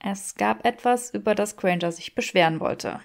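A woman's voice: mid-range voice, moderate pace, creaky. Female audiobook narrator, mid-range voice, scripted storytelling delivery. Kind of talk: scripted fan fiction narration.

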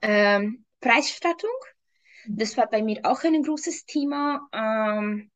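Woman in her thirties, Hungarian accent, slightly high voice, hesitant with many ums, video call. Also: slow. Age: 30s. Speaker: interview guest, fitness trainer. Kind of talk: casual interview answers. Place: home room.

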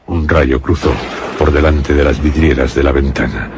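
A man with a Castilian Spanish accent narrating a suspense radio drama in a grave, measured storytelling manner. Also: a bass voice, steady tone, noisy background.

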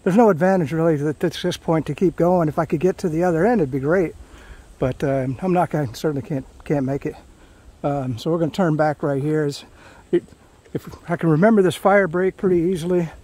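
A man's voice: fairly high pitch, a little mellow, breathy edge. A middle-aged man talks casually while hiking a forest trail, rambling with many fillers and restarts. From Florida. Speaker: hiking vlogger.

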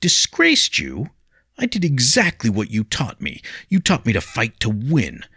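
A man's voice: medium pitch, wildly swooping pitch, measured pace, sharp tone, slightly rough, dry recording, clean studio sound, voice pushed up, full character voice. A man voicing a proud young male warrior pupil who protests and pleads in raised, indignant outbursts. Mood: defiant.

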